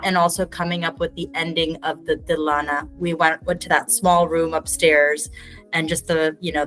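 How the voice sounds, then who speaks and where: A woman's voice: low voice, low-quality recording. A woman from Houston, a dancer, talking in a casual conversation and telling stories animatedly. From Houston, Texas. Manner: animated storytelling, chatty. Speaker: dancer guest.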